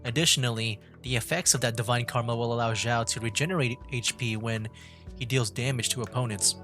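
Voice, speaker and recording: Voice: mid-range voice, fairly even intonation, brisk, fairly bright tone. A streamer giving casual, offhand, chatty commentary while playing a game. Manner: offhand and chatty, muttering reactions. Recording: over game music.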